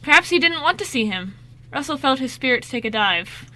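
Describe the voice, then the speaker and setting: A woman narrates an amateur radio play from a script. Her voice is forward and very bright, set a little high. She reads the story with expression. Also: lively intonation, a little fast.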